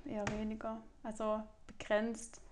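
A woman in her twenties with a Swabian accent, a singer answering interview questions in a relaxed way, laughing often. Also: fairly high pitch, unhurried, a little hiss or hum. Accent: Swabian German. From Swabia, Germany. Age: 20s. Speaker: singer and influencer.

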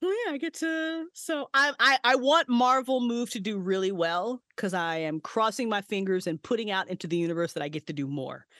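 A woman's voice: medium pitch, fairly quick, hardly any pauses, fairly bright tone, dry recording, clean studio sound. A woman guest on a podcast, chatting casually and animatedly, swearing freely and laughing often, recorded in a home booth. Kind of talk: casual chat.

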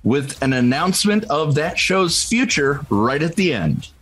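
Husky, animated man introducing a podcast. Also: on the high side, measured pace. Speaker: podcast host. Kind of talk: scripted podcast intro narration.

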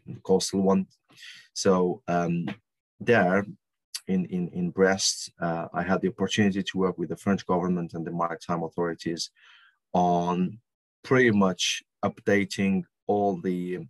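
Deep flat-voiced man with many pauses. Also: dry recording. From Algeria. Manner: halting, many ums and restarts. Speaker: guest consultant. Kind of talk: podcast interview answers.

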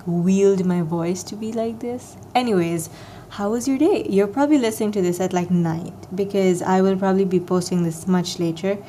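A young woman talking alone into her podcast mic, meandering from thought to thought with plenty of ums and likes. Her voice is soft and mellow, with a slight morning rasp. She speaks at a middling pitch in an easygoing, self-deprecating way. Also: slight background noise. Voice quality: soft, soothing voice. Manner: rambling, filler-heavy, self-deprecating. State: slightly raspier than usual, morning voice. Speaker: podcast host. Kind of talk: casual solo podcast chat.

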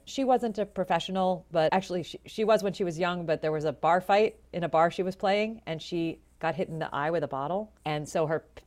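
Low-voiced woman telling lively stories. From Florida, USA. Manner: animated, chatty storyteller with lively asides. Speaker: jazz vocalist and educator, guest. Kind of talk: conversational interview storytelling.

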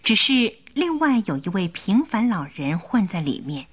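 A woman narrating a scripted radio drama in an expressive, carefully enunciated storytelling style. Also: mid-range voice, normal speed.

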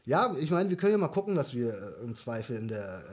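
A young man with a slightly husky voice chats in a casual podcast, thinking aloud. He rambles, breaks off sentences and restarts them, and scatters fillers throughout. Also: medium pitch, very animated, fast. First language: German. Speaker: podcast co-host. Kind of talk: casual chat.

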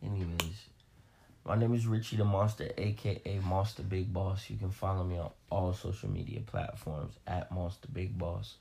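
A young man with a very deep, gravelly, raspy voice, dark in tone, joking brashly and swearing. A faint hiss of noise sits under him. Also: fairly even intonation, normal speed. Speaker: guest, host's brother.

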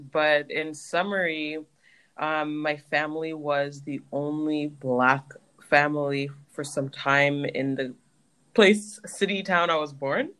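A woman's voice: low voice, fairly bright tone, clear, resonant tone, low-quality recording. A woman, co-hosting a podcast, telling a personal story in a reflective way with lots of fillers. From Canada. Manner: reflective, uses many fillers like um and like. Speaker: podcast co-host. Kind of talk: personal storytelling in casual chat.

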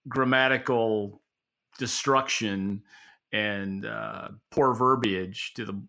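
A man's voice: lowish voice, fairly even intonation, takes it slowly, vocal fry, pushed and bright. A man co-hosting a podcast, giving opinionated, conversational commentary with a wry, dry sense of humor. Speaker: podcast co-host and market analyst.

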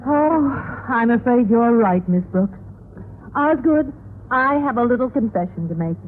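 An actress playing a genteel, coaxing middle-aged wife in a 1950s radio sitcom. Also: female voice, fairly high pitch, expressive, unhurried. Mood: confessing, matter-of-fact. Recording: tinny, noisy old radio recording.

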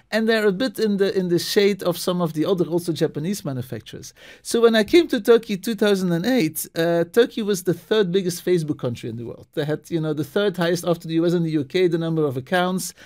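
A middle-aged man from Belgium, a marketing professor, talking casually as a guest and telling animated stories with many restarts. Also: high voice, quick pace. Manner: animated storyteller, many restarts and repeated words. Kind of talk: casual interview talk.

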